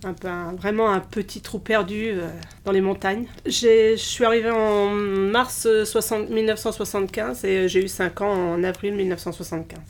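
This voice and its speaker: A woman in her fifties, born in Portugal, answers questions in an easygoing, conversational way. She often stops mid-sentence, restarts her thoughts and fills gaps with hesitations. Her pitch sits in the middle of the usual range for a woman. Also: steady tone. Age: 50s. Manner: halting, many pauses and restarts. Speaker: interviewee, childminder. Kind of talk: casual interview conversation.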